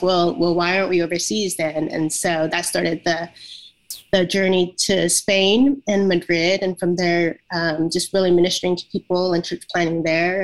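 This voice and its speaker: A woman in her forties from Vietnam, a guest speaking over a video call, thoughtful with many pauses and fillers. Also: fairly low pitch, poor audio. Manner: thoughtful, many ums and uhs.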